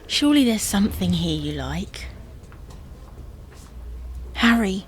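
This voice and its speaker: A woman's voice: medium pitch, sing-song, slow pace, slight background noise. A woman playing an exasperated, image-conscious wife who scolds and bosses in a domestic comedy audio drama. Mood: bossy, hushed urgency. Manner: bossy, scolding, sarcastic.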